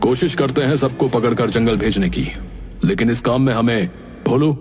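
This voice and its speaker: A man voicing a matter-of-fact cartoon village police inspector with a brisk, official manner. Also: mid-range voice, darkish tone, faint music behind, natural voice. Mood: businesslike. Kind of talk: Hindi cartoon voice acting.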